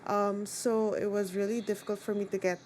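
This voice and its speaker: Woman book vlogger, native Tagalog speaker, easygoing chatty delivery full of "like" and "um", mid-pitched. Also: flat delivery, brisk, a little mellow, a little hiss or hum. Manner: chatty, rambling, lots of "like" and "um". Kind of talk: casual chat.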